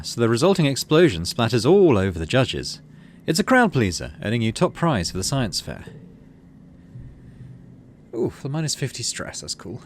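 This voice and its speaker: A man's voice: medium pitch, slight background noise. A man giving casual let's play commentary, reading story text aloud and murmuring to himself as he decides. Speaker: gaming channel host.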